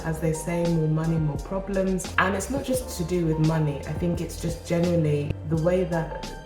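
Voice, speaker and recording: A woman talking to camera as a self-improvement vlogger, encouraging and casual, her voice nasal and groggy from a cold. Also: deep voice. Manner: earnest, encouraging, casual with restarts. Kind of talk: motivational talk to camera. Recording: roomy echo with music behind. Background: background music.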